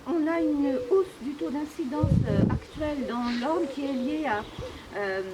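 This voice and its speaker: A woman with a high voice speaks in an unscripted official briefing. Her phrasing is formal and halting, with frequent hesitation, and there is clear noise on the recording. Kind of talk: spoken press briefing, unscripted. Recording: clearly noisy on-location recording.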